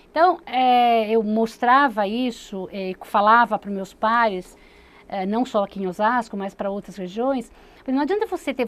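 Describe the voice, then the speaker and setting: A woman, a city secretary explaining her views in an earnest studio interview, with many fillers and restarts. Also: fairly high pitch. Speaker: municipal secretary, interview guest.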